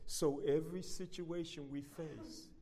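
A man's voice: fairly high pitch, unhurried. A man preaching as a guest speaker with a big voice, drawing call-and-response from his listeners. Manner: energetic, call-and-response, builds loud. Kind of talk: sermon.

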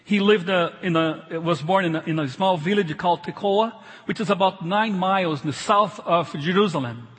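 High-voiced man preaching with a foreign accent. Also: fairly bright tone, slightly rough. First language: not English. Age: middle-aged. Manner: emphatic, halting, repeats phrases. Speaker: pastor preaching. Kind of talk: sermon. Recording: reverberant large hall.

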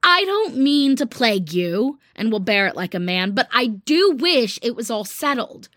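A woman's voice: fairly high pitch, big pitch swings, a little slow, a bit bright, no echo, studio quality, voice pushed up. A woman voicing a blunt, impatient, tomboyish teenage girl who speaks scornfully.

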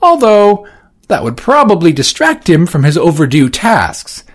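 Man voicing a formal, careful manager type, raised pitch, husky edge. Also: wildly swooping pitch, moderate pace, full character voice.

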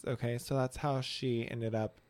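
A man's voice: mid-range voice, little pitch movement, normal speed, a crowd in the background. A man co-hosting a salon podcast, chatting casually and playfully teasing his guest. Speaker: podcast co-host. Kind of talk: casual chat.

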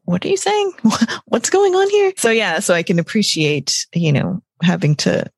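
A woman hosts a travel podcast in a husky, slightly gravelly low voice. Her delivery is polished and upbeat. The mic is close and dry, with faint music underneath. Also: wildly swooping pitch, normal speed, no echo. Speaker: podcast host. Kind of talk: scripted podcast intro and interview.

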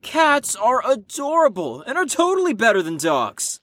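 A woman's voice: on the high side, wildly swooping pitch, normal speed, a bit bright, exaggerated voice. A woman playing a brash, rebellious young slacker in an audio drama, teasing and sarcastic in slangy speech. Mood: mocking.

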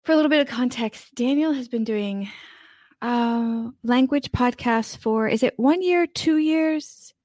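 A woman hosting a podcast interview, encouraging her guest and backing him up with steady "mm-hmm"s. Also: fairly high pitch, measured pace, no echo, clean studio sound. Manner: encouraging, frequent "mm-hmm" backchannels. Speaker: podcast host. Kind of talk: casual interview chat.

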